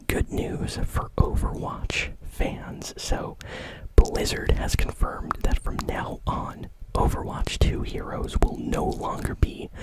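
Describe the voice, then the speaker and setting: A man whispering casual, conversational ASMR gaming news commentary in a breathy, unvoiced voice. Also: poor audio.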